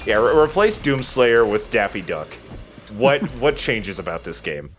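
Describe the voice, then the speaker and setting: A man's voice: mid-range voice, big pitch swings, a little slow, a little hiss or hum. A man giving casual, joking commentary while playing a video game. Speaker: let's play host playing the game.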